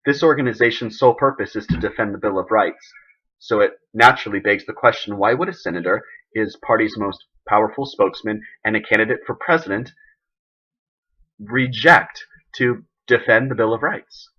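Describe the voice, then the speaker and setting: A man playing an embattled, idealistic president who gives an emphatic, deliberate, indignant speech in a dramatic monologue. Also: fairly low pitch, slightly rough, lo-fi sound. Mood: firm, indignant.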